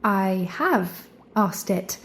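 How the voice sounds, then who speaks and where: An actress playing a curious, gently teasing young gentlewoman in an audio drama, arch and playful, with formal diction. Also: mid-range voice, lively intonation, extremely slow, creaky, exaggerated voice. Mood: wry, questioning. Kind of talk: theatrical audio drama acting.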